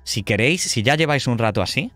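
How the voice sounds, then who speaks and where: A man's voice: mid-range voice, lively intonation, fast, fairly bright tone, no room sound. A man with a Castilian Spanish accent narrating and refereeing a tabletop roleplaying game in a conversational way. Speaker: game master.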